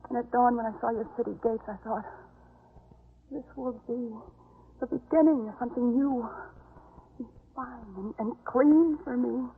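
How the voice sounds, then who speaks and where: Casting: penitent outcast woman, anxious and pleading, breathy voice, halting delivery, old radio drama, thin narrow-band sound. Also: a little high. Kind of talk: 1950s radio drama acting. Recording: muffled, narrow-band 1950s radio recording.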